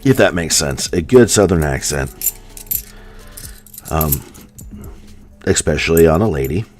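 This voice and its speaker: A man's voice: extremely low pitch, animated, measured pace, a little mellow, soft background music. An American man chatting casually and at length with a live audience as a streamer, with a General American accent and lots of ums and likes. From United States. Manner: rambling, filler-heavy, with many ums and likes. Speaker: coin hunting streamer.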